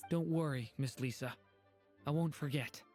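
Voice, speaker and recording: A woman voicing a young dragonborn boy in a video game, polite, earnest, innocent and soft-spoken. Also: deep voice, moderate pace, soft background music, lightly acted. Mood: reassuring. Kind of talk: animated video game voice acting.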